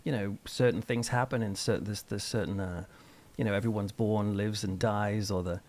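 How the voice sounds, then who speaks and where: Low-pitched man, thoughtful interview talk. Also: steady tone, moderate pace, darkish tone. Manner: thoughtful, discursive. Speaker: interview guest, church minister and author. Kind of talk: conversational interview, explaining ideas.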